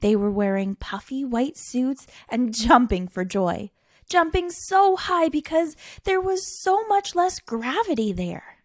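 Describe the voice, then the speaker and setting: A woman reading a children's picture book aloud as a storytime reader, theatrical, with dramatic pauses and stretched words for emphasis. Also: high voice, lively intonation, no room sound, studio quality.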